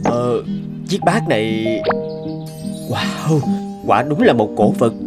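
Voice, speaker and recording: A man playing a keen, friendly young photographer in an animated story, speaking politely and enthusiastically. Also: medium pitch, takes it slowly. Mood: amazed. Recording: guitar music under the voice.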